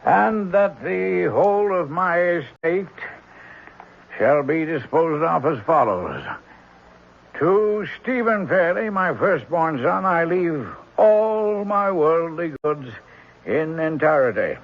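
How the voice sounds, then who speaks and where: An actor playing a solemn, disapproving family lawyer reading formally and deliberately in an old radio drama. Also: male voice, on the high side, big pitch swings, creaky, full character voice. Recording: dull vintage radio recording.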